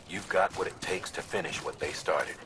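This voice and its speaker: Man playing a very deep-voiced commander over echoing comms. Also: far from the mic, noisy background, natural voice. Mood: solemn encouragement. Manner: measured, earnest, exhorting. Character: gruff commanding older male director briefing a courier. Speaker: mission commander. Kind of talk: scripted game briefing.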